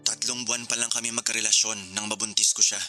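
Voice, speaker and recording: A man's voice: lowish voice, little pitch movement, quick pace, over music, close to an everyday voice. A man playing a young engaged man in a radio drama, bantering yet hesitant and conflicted. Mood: nervous, confiding.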